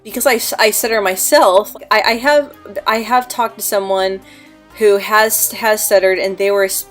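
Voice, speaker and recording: A young woman talking casually to camera about her own life. She stutters often, repeating sounds and blocking on words, and speaks in an earnest, candid way. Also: medium pitch. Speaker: vlogger.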